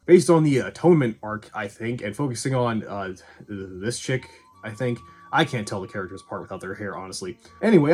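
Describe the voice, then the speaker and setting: Man, mid-range voice, casual review commentary, blunt and sweary, conversational, faint guitar music behind. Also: medium pitch. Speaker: video essay host and reviewer.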